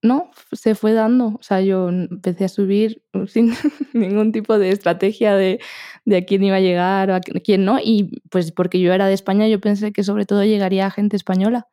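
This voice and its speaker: Mellow-voiced woman from Spain. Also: medium pitch, fairly even intonation, moderate pace, dry recording, clean studio sound. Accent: Spanish. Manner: soft-spoken, laughs often, frequent tag questions. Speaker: podcast guest, meditation podcaster. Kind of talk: casual interview chat.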